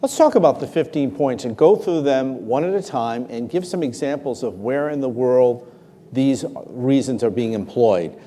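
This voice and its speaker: A man's voice: mid-range voice, lively intonation, moderate pace, mellow. A man hosting a live interview before an audience, introducing his guest and steering the questions in a formal way. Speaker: talk show host. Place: large hall with live audience. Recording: long hall reverb.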